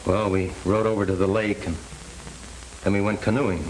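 A man's voice: fairly low pitch, level tone, normal speed, a little mellow, natural voice. An actor playing an earnest, troubled young man in a 1930s film. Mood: troubled, determined. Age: young adult. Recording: faintly noisy 1930s film soundtrack.

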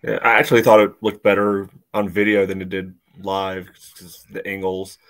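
A man's voice: low voice, level tone, normal speed. A middle-aged man rambling casually about sports on a video call. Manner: rambling, peppered with "you know". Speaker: sports podcast panelist. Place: indoor room on a video call. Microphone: video call microphone.

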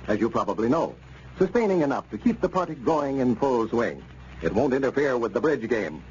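Male American radio announcer, animated intonation, polished scripted pitch, 1930s style, muffled noisy recording.

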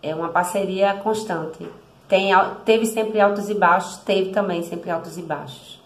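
A woman's voice: on the low side, measured pace. A woman, telling a personal story to the camera in a chatty, heartfelt way with frequent self-corrections, recorded at close range in a small room at home. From Brazil, Recife. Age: 50s. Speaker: motorcycle travel vlogger. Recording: echoey room.

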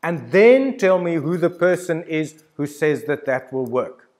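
An older man in his sixties delivers a conversational lecture. His voice sits a little high for a man and moves up and down with great animation. He addresses the listener directly and drives his points home emphatically. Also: animated, unhurried, a little mellow. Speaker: university lecturer. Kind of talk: informal instructional lecture with storytelling examples. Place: indoor studio with plain backdrop.

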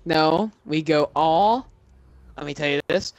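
A man's voice: fairly high pitch, slow pace, dull audio. A young teenage boy chatting playfully with friends while gaming. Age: teens. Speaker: gamer. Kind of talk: casual chat while playing.

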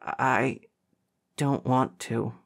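A man's voice: mid-range voice, fairly even intonation, very slow, vocal fry, exaggerated voice. A man voicing an earnest, emotional teenage boy, anxious yet defiant, pleading and hesitant in an audiobook.

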